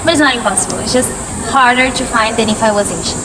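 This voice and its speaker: A woman's voice: fairly high pitch, fast. A young woman from Brazil, chatting casually as a student panelist. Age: young adult. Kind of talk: casual chat. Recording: loud hiss and room noise.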